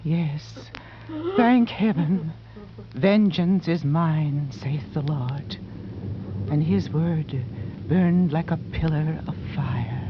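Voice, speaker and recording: A muffled, noisy old radio recording of a distraught, pious woman. She speaks very slowly, in a dramatic 1940s style full of feeling. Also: low-pitched. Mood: solemn, fervent.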